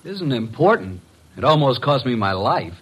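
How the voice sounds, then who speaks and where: An actor playing a brisk, tough detective's assistant with a casual, wry way of talking, in old-time radio mystery style. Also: male voice, mid-range voice, big pitch swings, breathy edge. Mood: businesslike. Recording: noisy old radio recording.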